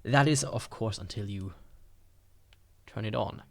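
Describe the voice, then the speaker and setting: A man's voice: fairly low pitch, measured pace, creaky. A man giving casual, sarcastic, playfully exaggerated commentary as he unboxes and reviews a gadget.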